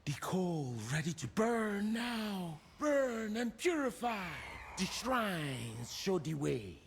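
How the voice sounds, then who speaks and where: An actor voicing an excitable old troll spirit speaker in a video game, dramatic and exclamatory, with clipped phrasing. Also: mid-range voice, slow, gravelly, slight background noise, exaggerated voice. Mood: solemn. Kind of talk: video game character acting. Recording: game music under the voice. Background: game music.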